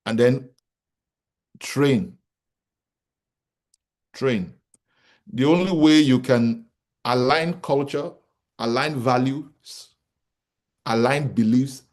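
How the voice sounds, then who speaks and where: A man's voice: mid-range voice, darkish tone. Emphatic Nigerian man giving a business talk. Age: 40s. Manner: emphatic, repeats key points, asks the audience rhetorical questions. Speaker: real estate company founder speaking to an audience.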